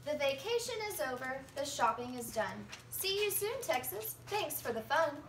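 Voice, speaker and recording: High, lively young woman reading a storybook. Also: normal speed. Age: young adult. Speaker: teacher reading aloud. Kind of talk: storybook read-aloud. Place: living room at home, indoors. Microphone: phone camera a few metres away. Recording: roomy, distant phone recording with faint noise.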